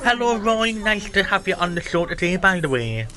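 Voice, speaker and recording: A man's voice: high-pitched, very animated, normal speed, sharp tone. A man hosting a hospital radio show, interviewing a guest in an upbeat, enthusiastic way. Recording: crowd chatter in the room. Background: crowd chatter.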